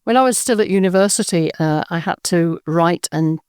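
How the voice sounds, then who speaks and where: Soft-spoken older woman from Birmingham. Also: fairly low pitch, normal speed, clean tone, no echo, clean studio sound. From England, Birmingham. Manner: soft-spoken, thoughtful, with frequent ums and repeated words. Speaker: guest, audio drama writer and director. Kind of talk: casual interview chat.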